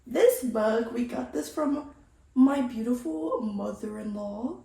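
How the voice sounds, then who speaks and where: A woman with a slightly raspy morning voice chatting casually to viewers as a vlogger, slangy and playful, with some swearing and emphatic catchphrases. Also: a little high, slow, noisy background. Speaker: vlogger hosting a sip-and-chat video. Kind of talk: casual rambling chat to viewers. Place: indoors at home. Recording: roomy, echoey home sound.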